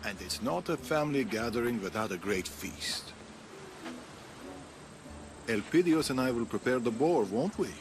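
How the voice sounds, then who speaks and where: An actor playing a steady, reassuring older Greek grandfather in a video game drama. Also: medium pitch, moderate pace, pauses often, noisy background, lightly acted. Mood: genial.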